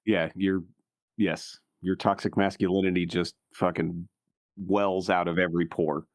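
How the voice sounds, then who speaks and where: A man's voice: sits low, little pitch movement, measured pace, dry recording, clean studio sound. A man playing a tabletop role-playing game, improvising in a casual, blunt, sardonic way. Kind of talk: casual in-character improvised chat.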